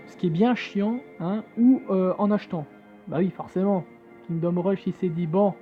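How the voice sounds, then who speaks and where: A man giving casual, hesitant commentary as he plays a phone game and reads its text aloud, with many fillers and jokey asides. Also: high voice. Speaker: gamer playing on a phone. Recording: tinny phone-like screen recording with faint game music. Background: faint game music.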